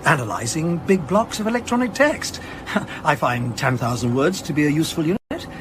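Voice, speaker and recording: A man's voice: fairly high pitch, fairly quick, background noise. An actor playing a male academic curator in a radio drama, explaining his field with pedantic, self-amused eagerness. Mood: enthused, explanatory. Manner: explanatory, donnish, animated. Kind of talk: naturalistic radio drama acting.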